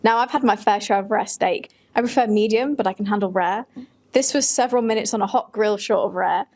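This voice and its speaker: Woman, podcast co-host, informal chat and storytelling, average pitch. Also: fairly quick, darkish tone. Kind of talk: casual chat, reading stories aloud.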